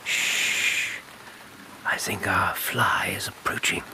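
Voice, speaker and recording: An actor playing a loyal, melancholy English gentleman in a radio drama, reflective in his manner. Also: low-pitched, fairly even intonation, slow, gravelly, slight background noise, exaggerated voice, poor audio. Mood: quiet, watchful. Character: loyal, melancholy widowed gentleman, detective's companion.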